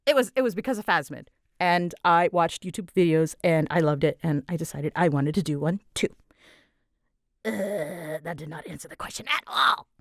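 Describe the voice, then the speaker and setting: A woman with a slightly low voice rambles through a casual Q&A. She is dramatic and scattered, poking fun at herself as her delivery swoops up and down. The sound is close and dry, as from a small closet. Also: expressive, normal speed, dry recording. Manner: scattered, self-deprecating, giggly. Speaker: ASMR audio creator and voice performer. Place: small closet used for recording.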